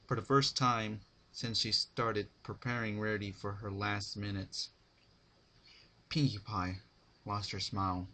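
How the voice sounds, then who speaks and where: A man's voice: lowish voice, little pitch movement, a little slow, bright, forceful tone. A man narrating a dramatic reading of fan fiction, with some faint noise in the recording.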